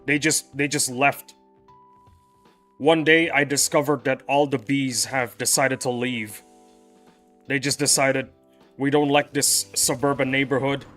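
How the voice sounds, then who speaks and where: Young male streamer; mid-pitched, rambling and casual; faint music bed. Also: steady tone, unhurried, long pauses, fairly bright tone. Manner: rambling, loose, often restarts sentences. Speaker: game streamer. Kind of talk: casual livestream chat with viewers. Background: faint background music.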